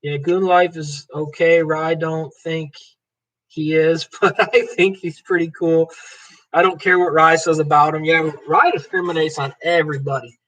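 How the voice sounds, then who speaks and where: A man's voice: on the high side, fairly even intonation, moderate pace, a bit bright, poor audio. A man hosting a live stream, chatting loosely and joking as he reads viewers' comments aloud and answers them by name. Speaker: livestream host. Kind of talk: casual livestream chat reading comments.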